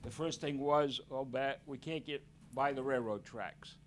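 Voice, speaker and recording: A man's voice: medium pitch, a little slow, a little hiss or hum. A man from town speaking during public comment at a meeting, blunt and plainspoken.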